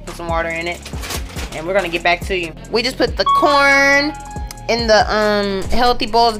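A young woman walks viewers through her cooking in a casual vlog. She talks into a phone in her home kitchen and often checks in with a quick "okay?". Soft music plays faintly behind her. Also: mid-range voice. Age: young adult. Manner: chatty, walks through each step, tags lines with "okay?". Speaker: vlogger. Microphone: handheld phone camera.

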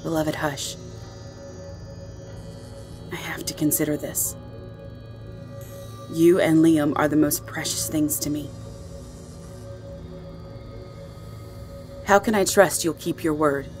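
Woman playing a low-voiced, commanding supernatural matriarch. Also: steady tone, a little mellow, faint music behind. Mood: solemn, tender. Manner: measured, cool, imperious. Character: commanding, protective supernatural mother, dangerous and impatient. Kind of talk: audio roleplay drama acting.

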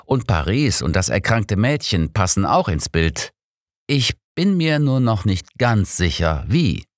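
A man voicing a shrewd, unflappable old detective in an audiobook, measured, deliberate and courteous. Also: lowish voice, very animated, dry recording, studio quality, pitched above the performer's own voice. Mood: calm, pondering.

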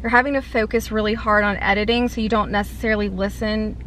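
A woman's voice: mid-range voice, fairly even intonation, quick pace, a bit bright, a little hiss or hum. A young woman from North Carolina with a light Southern accent, chatting casually in a rambling vlog from inside her car. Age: young adult. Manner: rambling, filler-heavy with lots of "like". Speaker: food vlogger. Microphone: camera on the dashboard.

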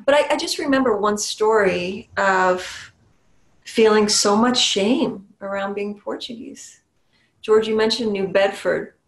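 A woman's voice: medium pitch, roomy sound, low-quality recording. A woman from New Hampshire who is a Zen priest and meditation teacher, talking thoughtfully about her own story on a video call from a small home room. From New Hampshire, USA. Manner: thoughtful, unhurried, with small restarts and soft laughs. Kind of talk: reflective personal sharing on a video call. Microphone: webcam on a video call.

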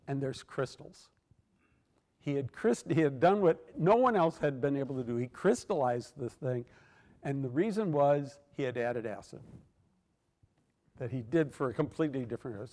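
A man's voice: mid-range voice, a little mellow. An older man giving a keynote lecture from a podium microphone in a large hall, telling a story with many fillers and restarts. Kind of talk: academic lecture.

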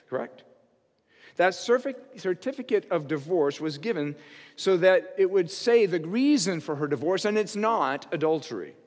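A man in his sixties, a pastor, preaching an expository sermon from a church pulpit, earnest and rising in pitch and volume on key points. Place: church stage behind a pulpit. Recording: long hall reverb of a church sanctuary.

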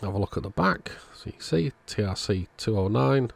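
A man calmly narrating a radio repair at his workbench in a matter-of-fact way. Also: fairly low pitch, fairly quick, gravelly, breathy edge. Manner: relaxed, matter-of-fact commentary while working. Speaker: electronics repair channel host. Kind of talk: narrated bench repair tutorial. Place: workbench indoors.

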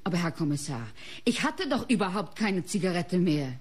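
An artist's wife in a radio crime drama, proud and embittered. She defends herself indignantly in a husky voice. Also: fairly low pitch, sing-song, normal speed. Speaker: actress in a radio crime play. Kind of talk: German radio play acting.

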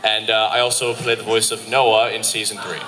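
A man's voice: on the low side, level tone, a little fast. A man talking casually as a panelist at a convention. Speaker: panelist, animator and voice actor. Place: large convention hall stage. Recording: noisy crowded hall filmed from audience.

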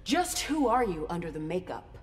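A woman's voice: lowish voice, very animated, moderate pace, over loud music. An actress playing a tough, earnest female soldier in a video game, stern and confrontational. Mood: stern defiance. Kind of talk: video game character dialogue. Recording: long reverb, loud noise behind.

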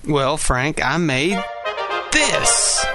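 A man's voice: fairly high pitch, very animated, very slow, music in the background, exaggerated voice. A man playing an earnest, proud inventor, declaiming theatrically in a comedy audio sketch. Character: earnest, proud amateur inventor of a time machine. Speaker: podcast sketch performer.

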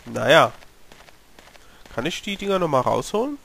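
A German-speaking man with a cold, slightly hoarse, giving chatty commentary while playing a horror game and talking to his character. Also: fairly high pitch, big pitch swings, moderate pace, a bit bright. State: has a cold, clearing his throat. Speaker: let's play gamer. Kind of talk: casual gameplay commentary.

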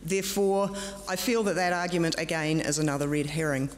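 A middle-aged woman from New Zealand giving a formal presentation at a podium, firm and direct with a measured delivery and some fillers. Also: sits low. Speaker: conference speaker. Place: large conference room with audience. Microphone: podium microphone. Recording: long hall reverb.

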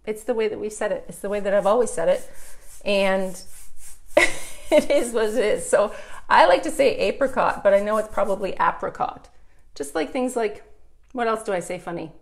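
A woman in her thirties with a Canadian accent talks her way through a home painting tutorial. Her voice sits at an ordinary middle pitch, and she chats freely and asks her viewers questions while she works. She is recorded indoors by a camera set up nearby. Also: normal speed. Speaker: furniture painter.

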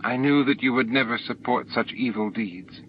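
A man voicing a gentle, affectionate and sorrowful young female alien in an audiobook, speaking formally. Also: mid-range voice, moderate pace, lightly acted. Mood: softening. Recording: dull noisy audiobook recording.

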